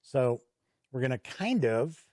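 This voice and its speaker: A man's voice: medium pitch, big pitch swings, slow pace, darkish tone, no room sound, clean studio sound. A man hosting an unscripted tabletop game review, talking conversationally and explaining as he goes. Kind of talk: unscripted review commentary while paging through a product.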